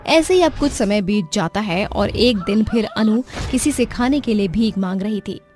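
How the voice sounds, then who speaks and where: A woman narrates a scripted story in a dramatic storytelling style. Her pitch is middling. Music plays behind her voice. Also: lively intonation, normal speed, a little mellow.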